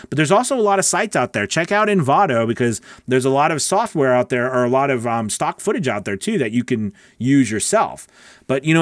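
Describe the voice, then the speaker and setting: A man's voice: medium pitch, no echo, studio quality. A middle-aged American man hosting a casual livestream Q&A, chatty and informal, thinking aloud as he answers. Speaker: host, voice-over coach. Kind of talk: casual livestream Q&A, reading and answering viewer questions. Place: small home recording studio. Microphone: large studio microphone on a boom arm, close.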